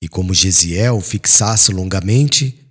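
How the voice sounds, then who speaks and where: A man's voice: deep voice, a little slow, fairly bright tone, slightly rough. A man narrating a literary audiobook in a solemn, formal, dramatic storytelling style.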